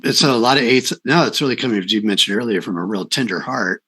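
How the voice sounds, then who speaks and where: A man's voice: lowish voice, fast, pushed and bright, slightly rough. A man hosting a conversational interview, explaining things in an affirming way and voicing short imagined quotes. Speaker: interview host. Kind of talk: conversational explaining of personality types.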